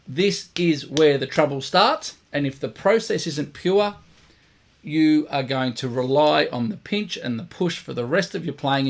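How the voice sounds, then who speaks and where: A man, a brass teacher giving blunt, emphatic instruction to camera, his voice croaky and tired today. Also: fairly high pitch. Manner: emphatic, blunt, repeats points for stress. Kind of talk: direct instructional talk to camera.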